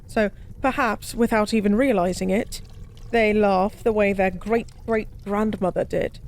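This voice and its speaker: A woman playing a forgotten goddess as a tipsy pub stranger in an intimate audio roleplay, speaking softly in slow, wistful philosophical rambles. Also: mid-range voice, fairly even intonation, lightly acted. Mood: reflective. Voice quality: soft. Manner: slow, musing, rambling, teasingly cryptic.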